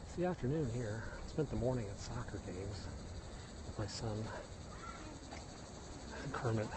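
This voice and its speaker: A middle-aged man with a Midwestern American accent talks to his viewers as he walks a hillside trail. He sounds like a chatty tour guide pointing out the sights. His phone picks up loud cicadas and insects buzzing around him. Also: on the low side, expressive, unhurried, background noise. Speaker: live-streaming vlogger. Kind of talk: casual walking livestream chat. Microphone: phone held while walking.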